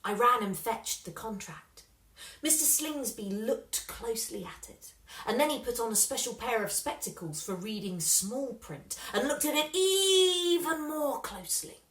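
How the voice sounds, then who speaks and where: Lady reading a kids book out loud, very lively and bright, bit echoey. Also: a little high, unhurried. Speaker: children's storybook reader. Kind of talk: expressive scripted story narration.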